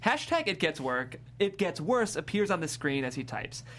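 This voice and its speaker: A man's voice: on the high side, very animated, normal speed, fairly bright tone. A man narrating the stage directions at a comedy script table read. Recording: faint background noise.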